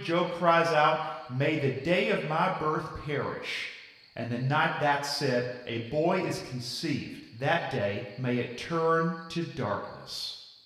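Folksy camp preacher, earnest, voice swings up and down, big boomy room far from the mic. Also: male voice, fairly bright tone. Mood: dramatic, emphatic. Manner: rhetorical questions, conversational tag words like "right?" and "okay?". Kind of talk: sermon to young campers. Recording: echoey hall, distant from the mic.

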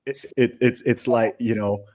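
A man's voice: medium pitch, steady tone, moderate pace, darkish tone. A man born in the UK and raised in Saudi Arabia, hosting a conversational podcast and making emphatic, opinionated points with repeated words and checking tags. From born in the UK, grew up in Saudi Arabia. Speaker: podcast host.

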